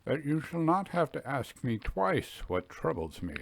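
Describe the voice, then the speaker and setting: A man playing a troubled, deferential railway signalman in a ghost story, grave and halting, in a low, hushed voice. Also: very animated, vocal fry, a little hiss or hum, heavily acted. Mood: troubled, confiding. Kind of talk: dramatised audiobook ghost story.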